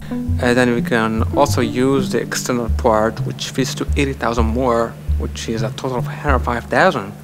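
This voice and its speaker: A man guiding visitors through a mosque, explaining in careful, halting phrases. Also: medium pitch, steady tone, music in the background. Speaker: mosque guide. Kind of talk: guided tour explanation.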